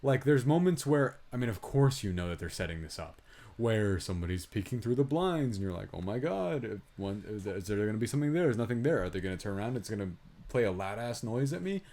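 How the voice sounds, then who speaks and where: A man with a fairly low voice talks as co-host of a film review podcast. His style is casual and conversational. He gives blunt opinions, swears easily and slips into quoted reactions to make his point. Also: sing-song, quick pace, a little mellow.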